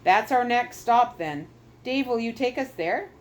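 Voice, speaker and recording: A woman voicing a steady, businesslike doctor dad. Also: extremely high pitch, measured pace, pitched above the performer's own voice. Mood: earnest. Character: determined father, a scientist on a search.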